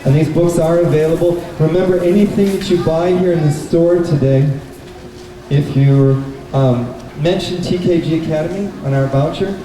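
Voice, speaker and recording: A man telling a children's story live to an audience, in simple, deliberate phrases. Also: a little high, mellow. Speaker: puppet show storyteller and presenter. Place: indoor bookstore event. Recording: very echoey, noisy hall, distant microphone.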